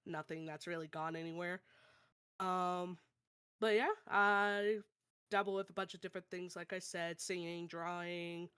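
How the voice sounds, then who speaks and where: Girl streamer introducing herself, slightly low smooth voice, super casual. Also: steady tone, unhurried, fairly bright tone. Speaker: streamer and aspiring voice actor.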